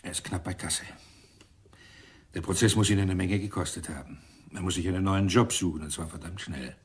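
A grim, angry detective inspector from a radio thriller. His voice is very low and extremely husky, full of stubborn resolve. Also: fairly even intonation, a little fast. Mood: grim, brooding. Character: angry, grimly determined male police inspector. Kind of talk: German radio crime drama acting.

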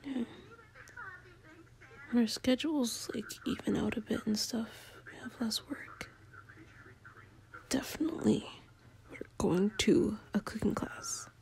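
Slow, whispering sleepy girlfriend, acted by a woman. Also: on the high side, wildly swooping pitch, heavily acted, low-quality recording. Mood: dreamy, affectionate. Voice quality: breathy whisper and soft murmur. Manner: drowsy, playful, unhurried. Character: sleepy affectionate girlfriend cuddling while watching a baking show. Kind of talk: ASMR roleplay, intimate and casual.

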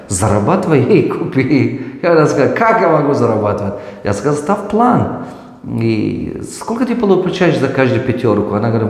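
A man's voice: medium pitch, vocal fry. A man in his forties with a Tajik accent, a business mentor answering viewer questions on raising children, acting out conversations with his kids. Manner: animated, gestures broadly, acts out dialogue with his children. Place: office with glass partitions. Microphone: lapel mic. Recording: echoey room with long reverb.

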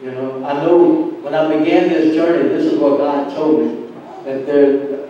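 Guy telling his testimony at church, kinda halting, muffled and really distant mic w some noise. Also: a little high, steady tone, dark tone, heavy reverb. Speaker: church speaker giving testimony. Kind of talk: personal testimony in a church service. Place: large church sanctuary, indoors. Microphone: far camera at back of the room.

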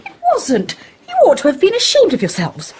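A woman's voice: high voice, wildly swooping pitch, a little fast, a little mellow. A woman acting in a radio play as an earnest, principled, severe young socialist, articulate. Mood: indignant, earnest. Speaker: actor in an audio play. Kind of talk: British radio drama acting.

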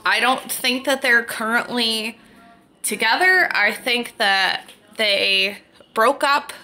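A woman's voice: mid-range voice, vocal fry, pushed and bright. A young woman with a congested, stuffy voice, chatting casually about books to a phone camera, rambling with lots of fillers. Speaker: book vlogger. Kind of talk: casual book vlog chat. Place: bedroom, then a parked car, then a room at home. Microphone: phone or webcam at arm's length.

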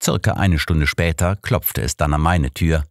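Deep-voiced male audiobook narrator. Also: a little slow, no room sound, studio quality. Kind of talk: scripted first-person story narration.

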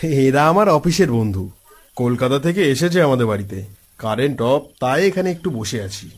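A man voicing an easygoing young village host in a cartoon story, animated and conversational. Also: mid-range voice, moderate pace, natural voice. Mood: casual, evasive. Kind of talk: cartoon story dialogue, casual.

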